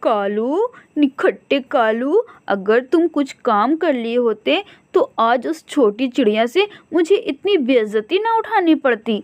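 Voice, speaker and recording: A woman's voice: sits high, lively intonation, measured pace, hardly any pauses, no room sound. A woman voicing a boastful, nagging female crow, a quarrelsome cartoon wife who complains a lot. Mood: scolding.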